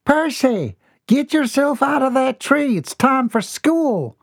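A shrill, bossy countrywoman barks orders at her son, as a man puts her on. The voice rides very high and sharp, recorded dry and close. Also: very animated, takes it slowly, creaky, dry recording, heavily acted. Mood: scolding. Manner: scolding, sharp. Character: woman, stern hen-pecking mother.

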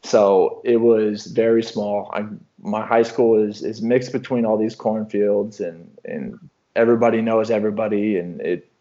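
A young man from Illinois answers interview questions in an easygoing, meandering way, circling back and restarting his thoughts. His voice sits fairly low. The sound is a bit dull and lo-fi. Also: moderate pace. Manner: relaxed, rambling with many restarts and fillers. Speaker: professional basketball player.

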